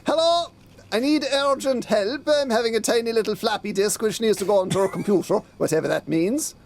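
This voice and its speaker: A man's voice: high voice, fairly quick, slight background noise. A man playing a pompous, bewildered middle-aged police detective inspector who is hopeless with technology, in a theatrical comic audio drama style. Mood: confused, smug.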